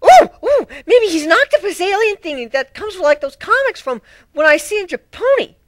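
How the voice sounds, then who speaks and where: A man voicing a perky young female cartoon pony in a squeaky, bubbly, eager falsetto for a story reading. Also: sing-song, normal speed, fairly bright tone, dry recording, clean studio sound, full character voice. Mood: excited, curious.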